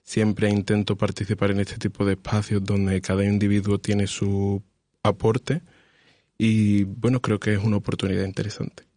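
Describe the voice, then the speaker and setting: A man in his twenties with a low, even voice talks in a measured, careful way, pausing with fillers as he builds each point. The sound is dry and close, with no room around it. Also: dry recording. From Spain. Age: 20s. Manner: measured, thoughtful, hesitant fillers. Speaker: panelist. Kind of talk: podcast discussion.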